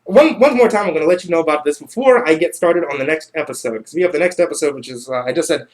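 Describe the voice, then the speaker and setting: A man hosting a livestream in casual chat, with a halting delivery that often doubles back. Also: fairly high pitch, low-quality recording. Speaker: livestream host.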